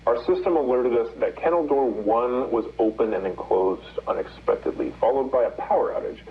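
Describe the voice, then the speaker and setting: A man's voice: mid-range voice, normal speed, lightly acted. A man playing a polite, cautious alarm company operator on a phone call. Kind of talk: naturalistic phone call acting. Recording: dull, hissy phone call.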